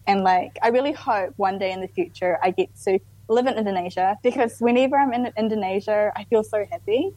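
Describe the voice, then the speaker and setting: A relaxed young woman chatting as a radio guest, her talk loose and full of filler words. Her voice sits in a middle range. The sound is dry and close, with no room echo. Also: mid-range voice, fairly quick, hardly any pauses. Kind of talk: casual radio chat.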